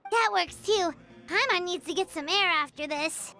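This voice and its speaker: A woman voicing a tiny, excitable, childlike fairy companion in a video game, bubbly and chatty. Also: very high-pitched, moderate pace, heavily acted. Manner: bubbly, chatty, refers to self in third person. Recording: music behind the voice. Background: game background music.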